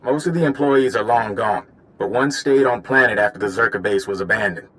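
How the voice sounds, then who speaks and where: A man's voice: lowish voice, a little fast, a bit bright, close to an everyday voice, poor audio. A man playing a wry, easygoing field agent in a video game, talking informally. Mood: brisk, friendly. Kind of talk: video game dialogue acting.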